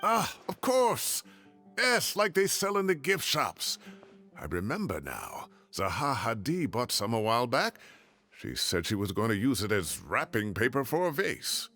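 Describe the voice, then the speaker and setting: A man's voice: medium pitch, very animated, a little slow, sharp tone, faint music behind, exaggerated voice. An actor playing an older, fussy male professor in a video game, theatrical and given to musing aloud. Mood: pleased.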